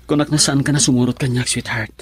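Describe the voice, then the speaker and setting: A man's voice: medium pitch, fairly even intonation, fast, slightly rough, no echo, soft background music. An actor in an Ilocano radio drama playing an earnest, reassuring young man running away with his sweetheart.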